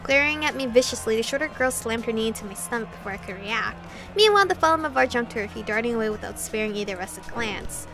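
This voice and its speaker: A woman hosting a visual novel playthrough, reading game text aloud with expressive, casual reactions and casual swearing. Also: a little high, quick pace, hardly any pauses, loud music behind the voice.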